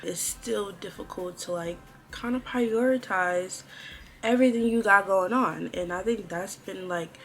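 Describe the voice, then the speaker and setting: A woman's voice: mid-range voice, measured pace, soft background music, rough recording. A woman in her twenties talking casually and chattily to her viewers, like an informal chit-chat vlog with girlfriends. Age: 20s. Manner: chatty, informal, girl-talk address to viewers. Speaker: vlogger.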